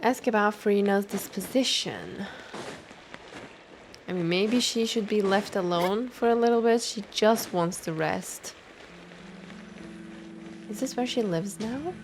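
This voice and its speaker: A woman's voice: mid-range voice, measured pace, pauses often, a little hiss or hum. A young woman streamer reacting casually to a game, thinking aloud and talking back to the characters.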